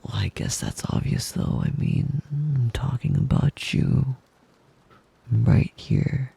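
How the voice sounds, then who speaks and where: A man voicing a possessive, doting, menacingly devoted wolf boy lover in an intimate ASMR roleplay, breathy and husky, often slipping into a whisper, with a tender, teasing manner. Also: medium pitch, mellow, full character voice. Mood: tender, adoring. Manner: slow, tender, teasing, giggly.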